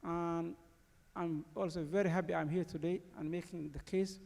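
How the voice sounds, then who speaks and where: Somali man, think tank panelist, gravelly and halting, reverberant hall. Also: on the high side, steady tone, a little mellow. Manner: halting, many fillers. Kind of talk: personal panel statement. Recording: long reverb of a large hall.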